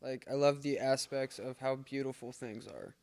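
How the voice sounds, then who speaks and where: A man in a casual podcast chat, speaking hesitantly with halting restarts. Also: mid-range voice, darkish tone, smooth. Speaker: podcast guest/panelist.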